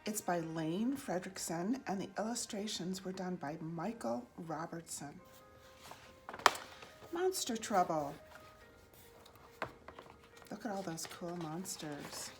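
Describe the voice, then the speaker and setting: A woman reading a rhyming children's picture book aloud in an expressive, singsong, deliberate way. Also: fairly low pitch, music in the background. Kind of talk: read-aloud children's storytelling in rhyme.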